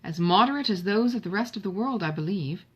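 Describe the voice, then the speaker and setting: Woman acting storybook young gentleman, reserved, modest, formal, self-deprecating, slightly husky. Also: mid-range voice, lively intonation, fairly quick. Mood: subdued.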